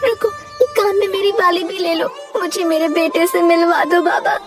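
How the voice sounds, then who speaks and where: A woman's voice: very high-pitched, steady tone, moderate pace, music in the background, pitched above the performer's own voice. A woman voicing a grieving, trusting village mother in a cartoon moral tale, tearful and imploring. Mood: desperate, devoted.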